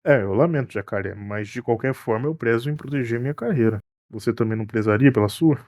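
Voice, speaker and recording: A man acts out a cruise ship captain looking after his own career. His mid-pitched voice has a Brazilian accent and a colloquial, matter-of-fact delivery, recorded dry for animation. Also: fast, a little mellow, dry recording, natural voice. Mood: unapologetic. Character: teasing, self-protective cruise ship captain. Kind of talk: animated murder-mystery dialogue.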